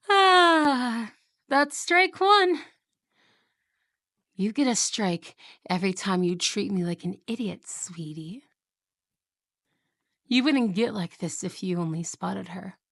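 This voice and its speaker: A jealous, possessive young wife, played by a woman in an ASMR roleplay, purring her words very slowly with a gravelly, creaky edge. She slips into hushed whispers and sounds sweet, yet quietly threatening, close to a dry, dead microphone. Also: medium pitch, no echo. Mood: quietly menacing. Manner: soft, slow, whispery, with giggles.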